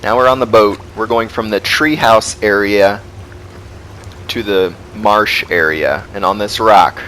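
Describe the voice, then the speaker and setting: Man, low voice, game walkthrough narration, guide. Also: a little slow, a bit bright, a little hiss or hum, low-quality recording. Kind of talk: walkthrough commentary.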